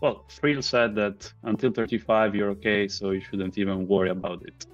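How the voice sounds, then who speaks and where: A man's voice: fairly low pitch, steady tone, moderate pace, no echo, soft background music. A man, casually interviewing a guest on a video call. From Italy. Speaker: podcast host, interviewer. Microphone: earbuds on a video call. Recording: slightly dull video-call audio.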